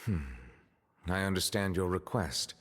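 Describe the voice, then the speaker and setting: A man voicing a dignified, reserved high official in a video game, speaking formally and with careful diplomacy. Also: steady tone, unhurried, in a large hall. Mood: skeptical, reserved. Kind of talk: scripted video game dialogue.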